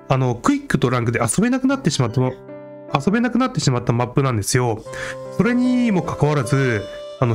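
A man giving casual explanatory narration for a game video. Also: medium pitch.

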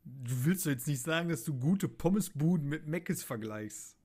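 A man's voice: a little high, animated, normal speed, darkish tone, slightly rough. A man chatting casually on a podcast, retelling things in colloquial language. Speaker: podcast host. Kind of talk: casual chat.

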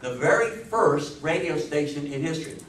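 A man's voice: fairly high pitch, lively intonation, fairly bright tone. Echoey, distant older man giving a lecture. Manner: emphatic, deliberate storyteller with rhetorical questions. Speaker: guest lecturer on history. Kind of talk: public talk to an audience. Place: indoor meeting room with seated audience. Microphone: distant camera microphone. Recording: echoey room, distant mic, faint noise.

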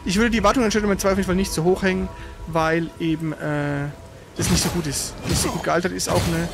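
A man with a high-pitched voice hosts a live gaming stream. He comments casually as he plays. His speech rambles, with frequent stutters and self-corrections. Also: fairly even intonation, quick pace, gravelly, loud music behind the voice. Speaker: host of a gaming livestream.

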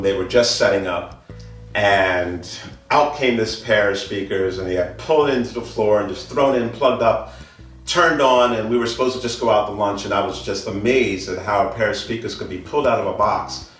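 Low-voiced man in an echoey room. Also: moderate pace, a bit bright. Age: middle-aged. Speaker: audio dealer, guest. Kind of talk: informal product presentation and interview. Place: indoor wood-floored listening studio. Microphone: handheld camera a few meters away. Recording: echoey room, distant camera mic, music behind.

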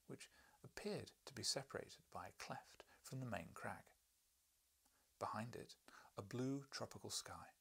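A man's voice: fairly low pitch, creaky, bright, forceful tone, husky. A man, reading literary narration aloud as an audiobook reader. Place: small book-lined living room, indoors.

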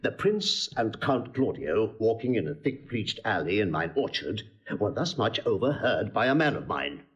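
Animated older gentleman, dull recording. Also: fairly low pitch, moderate pace. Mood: eager, confiding. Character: older gentleman, eager gossiping brother and uncle. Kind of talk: classical Shakespearean audio drama acting.

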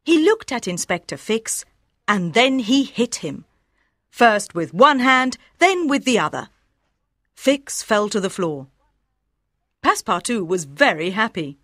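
A woman reading scripted story narration for learners in a measured, clearly enunciated way. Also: mid-range voice, sing-song, fairly bright tone, dry recording, studio quality. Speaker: audiobook narrator.

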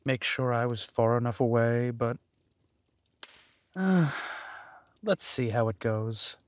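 A man playing a ruthless, haughty duke in a villain audio drama, drawling deliberately and with menace. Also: medium pitch, expressive, dry recording. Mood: sly, scheming. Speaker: voice actor.

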